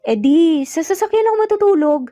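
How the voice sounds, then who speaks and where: A woman's voice: very high voice, animated, fairly quick, mellow, pitched above the performer's own voice. A woman voicing a determined, lovestruck young man, earnest and insistent, in an audio romance. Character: determined, lovestruck young man courting his estranged wife. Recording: muffled lo-fi audio, music bed behind.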